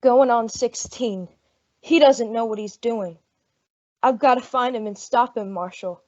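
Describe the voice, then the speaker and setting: A woman's voice: a little high, measured pace, a little mellow, lightly acted. A woman playing an anxious, humble frontier mother in an old-time radio western, earnest and pleading in a rustic way. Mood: worried, pleading. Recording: dull lo-fi radio drama recording.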